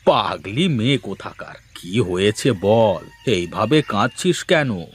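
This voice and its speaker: A man's voice: fairly low pitch, animated, takes it slowly, a little hiss or hum. A man playing a jovial, affectionate older father in a cartoon family drama. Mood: fond. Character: jovial, affectionate older Bengali father visiting his married daughter.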